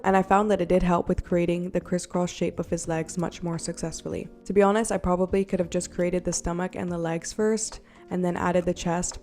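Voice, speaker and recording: A young woman narrating her craft video in a friendly, chatty way, her voice still a little rough from being sick. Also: fairly low pitch. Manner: chatty and self-deprecating, with little laughs. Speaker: polymer clay artist narrating a craft video. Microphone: borrowed desk mic. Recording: soft background music.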